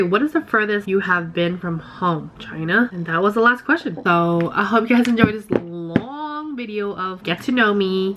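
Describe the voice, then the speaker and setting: A woman's voice: lowish voice, a little hiss or hum. A woman in her twenties who sounds nasal from a cold, casually reading questions aloud and answering them chattily in her bedroom. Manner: reads each question number brightly, then answers chattily. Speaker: beauty vlogger.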